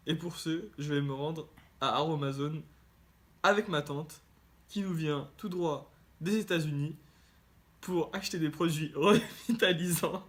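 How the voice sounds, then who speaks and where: A young man vlogging in a playful, bossy way, switching between French and English and laughing often. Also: a little high. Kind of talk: casual vlog chat.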